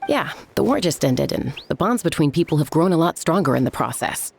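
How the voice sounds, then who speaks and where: A woman voicing a laid-back female warrior who talks in a relaxed, easygoing, dry way. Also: low-pitched, a little fast, creaky, a little hiss or hum, heavily acted. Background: game music.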